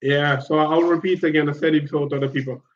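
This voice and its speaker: A man's voice: fairly high pitch, fairly even intonation, fast, echoey room, muffled recording, slight background noise. A man from Guinea-Bissau hosting a casual online chat, talking in a hesitant, reflective way and repeating words as he searches for them.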